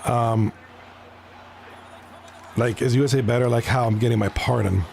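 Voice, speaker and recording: A man's voice: mid-range voice, steady tone, moderate pace, vocal fry, a little mellow, a little hiss or hum. A man from Toronto giving casual live commentary on an esports hockey league, chatting with his viewers between plays. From Canada (Toronto).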